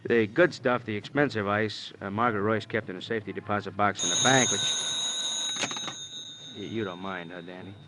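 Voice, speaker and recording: An actor playing a wry, long-winded American police detective, rambling and needling, in 1950s radio crime drama style. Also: male voice, fairly low pitch, steady tone, brisk, natural voice. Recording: dull, noisy old radio recording.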